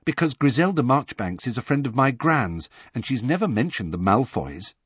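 A man voicing a meek, downcast, unconfident teenage schoolboy who speaks quietly in an audiobook. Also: mid-range voice, big pitch swings, normal speed, breathy edge, no echo, dull audio, pitched above the performer's own voice. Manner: quiet, subdued.

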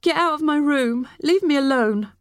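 A volatile teenage girl, acted by a female narrator in an audiobook story. She is hurt and defiant, pitched well up, recorded dry. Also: expressive, moderate pace, no room sound. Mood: furious. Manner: defiant, wounded outbursts.

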